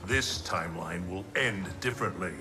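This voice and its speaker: A man playing a solemn, commanding thunder god in a video game cutscene, speaking formally and gravely. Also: low-pitched, normal speed, over music, lightly acted. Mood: defiant, resolute.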